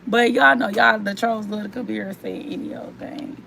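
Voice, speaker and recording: A young woman vlogger whose voice is hoarse and nearly gone, pitched a little high for a woman. She chats casually and rambles, talking straight to her viewers. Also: steady tone, normal speed, sharp tone, a little hiss or hum. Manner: chatty and rambling, addresses viewers directly.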